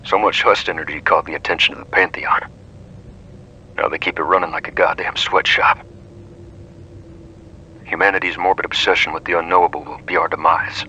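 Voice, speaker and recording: Actor as very deep, gruff, hard-bitten investigator. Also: male voice, fairly even intonation, brisk, long pauses, sharp tone, a little hiss or hum, poor audio. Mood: brooding, wary. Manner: gruff, terse, brooding. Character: hard-bitten, world-weary male investigator of the supernatural. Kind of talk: analog horror audio drama.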